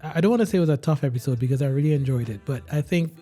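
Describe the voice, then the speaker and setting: A man's voice: a little high, racing, warm tone, faint music behind. A man hosting a podcast in an earnest, upbeat way, laughing easily. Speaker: podcast host.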